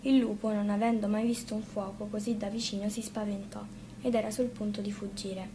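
A woman reading a fairy tale aloud as an expressive storybook narrator. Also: medium pitch, fairly quick. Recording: faint noise, live radio reading.

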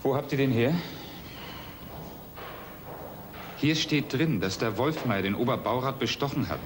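An actor playing a cautious, kindly journalist in a radio play, speaking earnestly and hesitantly, as if to children. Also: male voice, mid-range voice, animated, a little fast, natural voice. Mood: serious. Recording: noisy radio play tape.

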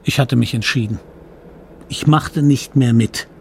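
A man playing a troubled narrator who recalls his boyhood guilt in a radio play, measured and brooding. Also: mid-range voice, vocal fry, a little mellow, a little hiss or hum.

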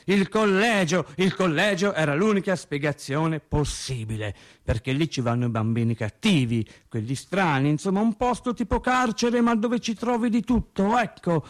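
A male actor playing a wry storyteller recalling boyhood with childlike logic, in an expressive, conversational theatrical monologue. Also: sits high. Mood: puzzled, insistent. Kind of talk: theatrical first-person radio monologue.

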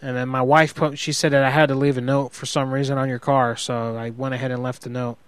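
A man's voice: medium pitch, little pitch movement, clean tone, no echo, close to an everyday voice. A man playing a hot-headed, sheepish husband in an improvised prank phone call, rambling and justifying himself as he goes. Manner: halting, rambling, self-justifying.

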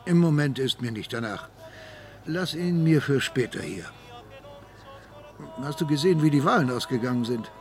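An actor playing an older, pious and patient Sicilian mafia boss in hiding in a radio crime drama, measured and sententious. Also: male voice, medium pitch, animated, a little mellow, faint music behind, lightly acted.

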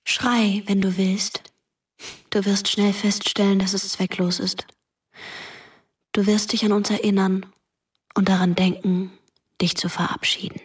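An actress playing a dead teenage girl in a radio drama, beckoning in a soft half-whisper. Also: mid-range voice, level tone, measured pace, long pauses, husky. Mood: menacing calm. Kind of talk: hushed radio play narration in German.

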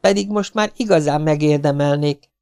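A man voicing an excitable little boy who squeals and shouts eagerly. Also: a little high, gravelly, clean tone, pitched above the performer's own voice.

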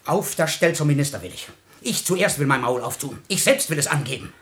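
A man's voice: on the high side, expressive, a little fast, fairly bright tone. An actor playing a hot-tempered middle-aged musician father in a classical radio play, blustering and scolding. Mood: angry. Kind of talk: classical German stage acting, radio play.

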